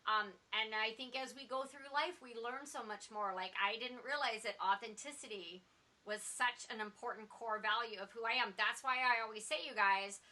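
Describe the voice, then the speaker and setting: A woman hosting a show, talking in a lively, emphatic, chatty way. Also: a little high, normal speed. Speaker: podcast host. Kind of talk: casual conversational chat.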